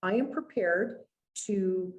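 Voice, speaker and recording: A woman's voice: medium pitch, slow pace, lo-fi sound. A middle-aged woman with a Canadian accent, speaking as a guest family lawyer in an earnest, conversational storytelling way.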